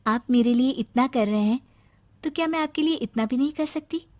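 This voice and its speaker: Woman playing a high-voiced, dutiful young bride. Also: a little fast, a little mellow, lightly acted. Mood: sweet, dutiful. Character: sweet, dutiful young newlywed daughter-in-law. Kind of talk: animated Hindi moral story acting.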